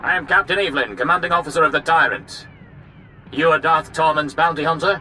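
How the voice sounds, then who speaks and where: A man's voice: on the high side, brisk, sharp tone. A man voicing a formal warship captain in a video game, clipped and commanding. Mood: urgent, commanding. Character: formal, urgent male imperial warship captain. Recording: faint noisy battle ambience.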